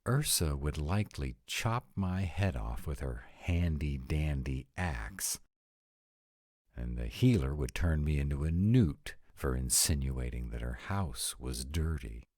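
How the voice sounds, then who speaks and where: A man's voice: rumbling low, lively intonation, measured pace, a little mellow, no echo, heavily acted. A man voicing a sarcastic, exasperated vampire in a scripted roleplay audio, talking to his partner with dry, emphatic delivery. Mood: exasperated sarcasm. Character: sarcastic male vampire, exasperated, anxious about in-laws.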